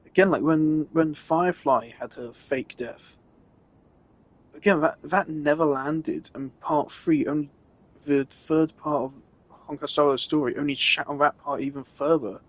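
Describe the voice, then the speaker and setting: A man's voice: fairly high pitch, measured pace. A man giving a casual, opinionated rant on a live stream, emphatic and exasperated in the way he talks.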